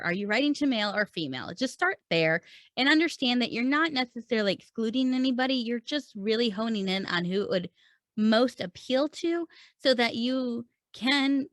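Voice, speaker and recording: A woman's voice: a little high, fairly bright tone, no echo. A woman in her thirties hosting a podcast, giving earnest, encouraging advice to writers and asking many rhetorical questions. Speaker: writing coach and podcast host. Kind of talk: solo teaching talk to camera.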